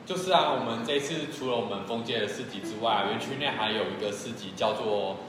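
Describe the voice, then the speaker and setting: Really roomy sound w music, taiwanese mandarin guy talking fast, playful casual livestream host chat. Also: fairly low pitch. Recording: very echoey hall, music behind.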